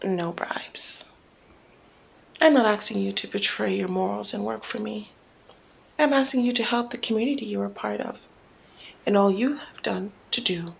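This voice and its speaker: A woman playing a flirty, cunning female mob boss in an intimate ASMR roleplay, speaking in a teasing, deliberate murmur that often slips toward a whisper. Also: medium pitch, rough recording. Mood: persuasive, earnest. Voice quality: breathy creaky murmur, often dropping to whisper. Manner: teasing, deliberate, drawn-out phrasing.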